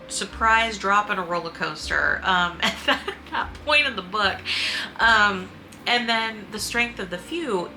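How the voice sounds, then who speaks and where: A woman talking casually in a book review vlog, informal and a bit halting, often correcting herself and swearing mildly. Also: medium pitch, pushed and bright, a little hiss or hum, low-quality recording. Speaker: book reviewer and video host.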